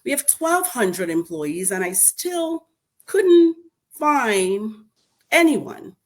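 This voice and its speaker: A woman's voice: fairly high pitch, drawn-out words. A middle-aged woman from Trinidad and Tobago with a Trinidadian accent, speaking as a guest in a community panel discussion and telling her story emphatically. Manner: lively storytelling, emphatic and animated. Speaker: school board member and panel guest.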